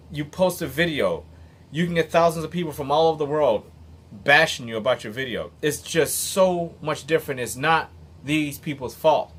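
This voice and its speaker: A man's voice: fairly high pitch, a bit bright, breathy edge. A man in his late thirties giving an earnest, blunt motivational monologue, emphatic and sometimes swearing. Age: 30s. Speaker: motivational vlogger. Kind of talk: unscripted commentary monologue.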